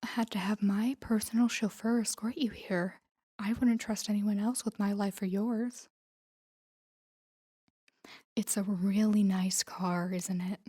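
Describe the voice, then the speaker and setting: A woman playing a guarded, tender mafia boss in an intimate audio roleplay, speaking softly and breathily to a lover in a measured, confiding way. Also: medium pitch, fairly even intonation, husky. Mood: soft, reassuring. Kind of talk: intimate scripted audio roleplay addressed to the listener.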